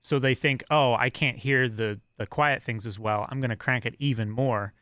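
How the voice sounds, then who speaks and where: Man, podcast co-host, bright voice, dry close sound, casual, laughs easily. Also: medium pitch, steady tone, moderate pace, no room sound. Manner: laughs often, explains things with confidence. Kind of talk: casual chat.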